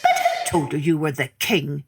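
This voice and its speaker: A woman voicing a tiny, boastful male gnat in a storybook reading, talking quick, high and mocking. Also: animated, loud music behind the voice. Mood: challenging.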